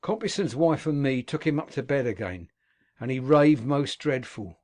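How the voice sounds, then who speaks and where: A man voicing a rough old male convict who tells his past in earthy dialect speech. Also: mid-range voice, expressive, normal speed, a little mellow, close to an everyday voice. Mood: grim recounting. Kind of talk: audiobook character reading.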